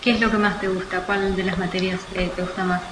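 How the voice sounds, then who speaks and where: A woman conducting an interview at an average pitch, with brief, encouraging responses and plain questions. Her voice rings in the room, over clearly audible noise. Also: flat delivery, quick pace, roomy sound.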